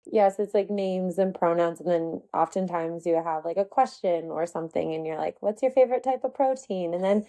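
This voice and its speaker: A woman's voice: fairly low pitch, moderate pace, few pauses, warm tone. A woman hosting a podcast interview, casual and supportive, asking follow-up questions. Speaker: podcast host.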